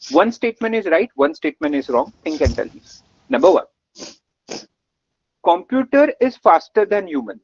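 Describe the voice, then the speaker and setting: A man's voice: high voice, vocal fry, slightly rough. A man from Gujarat with an Indian English accent, a webinar instructor who coaxes answers and heaps on praise. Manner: energetic, coaxing, lots of praise and repetition. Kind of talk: live online coding lesson. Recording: dull, muffled dry webinar audio.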